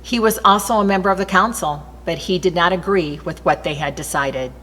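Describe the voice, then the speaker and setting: A woman reading aloud from the Bible as an expressive scripture narrator. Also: on the low side, fairly quick, a little hiss or hum. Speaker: scripture reader. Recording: long reverberant hall echo.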